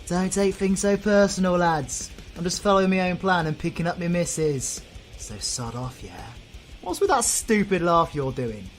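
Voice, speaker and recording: A man's voice: high voice, lively intonation, normal speed, soft background music. A man with a British accent playing a cocky, foul-mouthed supervillain in an audio roleplay, full of flippant, mocking banter. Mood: menacing swagger, then mocking irritation.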